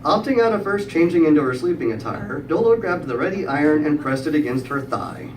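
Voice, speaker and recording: A middle-aged man tells a humorous story live, in a prepared style with wry, dry wit and comic pauses. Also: fairly high pitch, lively intonation. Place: live event room with audience. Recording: echoey room, distant mic, clear background noise.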